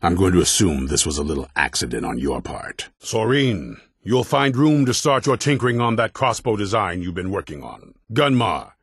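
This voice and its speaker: An actor playing a grim, distrustful, battle-hardened vampire hunter commander in a video game, with a gruff, blunt, stern delivery and a gravelly, husky voice. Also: male voice, low voice, big pitch swings, normal speed, hardly any pauses, dry recording, clean studio sound, full character voice. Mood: curt, commanding. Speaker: leader of an order of vampire hunters. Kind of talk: video game character dialogue.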